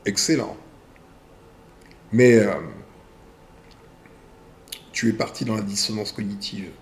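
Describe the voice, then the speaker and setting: A man hosting a video channel. He reads viewer messages aloud and answers them in a deliberate way, in short phrases with pauses. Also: lowish voice, steady tone, creaky, slight background noise.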